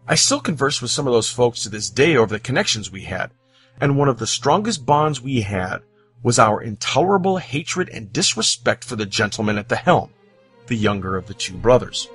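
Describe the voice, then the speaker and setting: A man from the United States narrating scripted personal stories, with dramatic, deliberate phrasing and pauses. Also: mid-range voice, fairly bright tone, husky, no echo. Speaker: storyteller. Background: background music.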